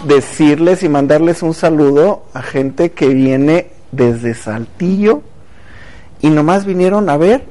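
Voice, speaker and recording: A man's voice: on the high side, slow pace, mellow. A man hosting a casual talk show, chatty and speaking directly to viewers. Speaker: TV show host.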